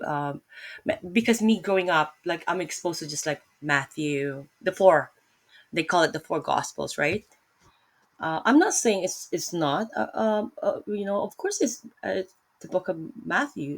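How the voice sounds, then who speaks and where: Filipino lady doing a bible chat on an old laptop, deep voice, wanders off and backtracks a lot. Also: animated, unhurried. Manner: rambling, self-correcting, many fillers. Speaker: vlogger teaching Bible study. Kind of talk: casual Bible talk.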